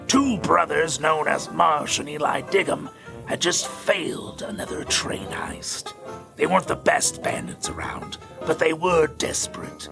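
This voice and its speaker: A man playing a sly, folksy Old West storyteller with a gravelly voice and American accent, narrating a western audio drama theatrically. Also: fairly high pitch, full character voice. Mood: sly, teasing. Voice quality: gravelly, creaky. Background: music.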